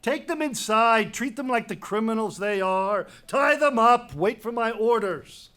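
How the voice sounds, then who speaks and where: A man's voice: very high-pitched, animated, unhurried, bright, forceful tone, exaggerated voice. An actor playing a proud, autocratic middle-aged king and stern father in a declamatory dramatic reading of Greek tragedy. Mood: furious, commanding. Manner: imperious, declamatory.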